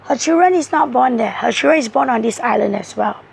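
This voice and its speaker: A woman with a hoarse voice, giving a rambling, halting monologue as a tarot reader. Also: on the high side, lively intonation. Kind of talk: casual tarot video monologue.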